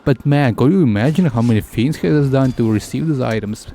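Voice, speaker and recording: A man who is a native Russian speaker, streaming a game with casual, halting, jokey commentary. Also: medium pitch, dark tone, a little hiss or hum.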